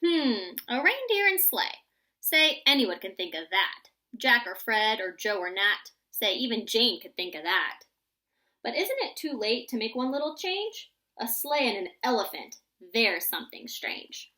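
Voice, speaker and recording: A woman voicing an imaginative, excitable young boy who spins tall tales in a rhyming storybook. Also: a little high, measured pace, exaggerated voice. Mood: musing, excited.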